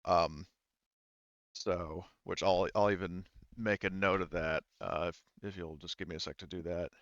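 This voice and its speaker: A man casually running a tabletop roleplaying game as game master, halting and thinking aloud as he goes. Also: deep voice, steady tone, dry recording, dull audio.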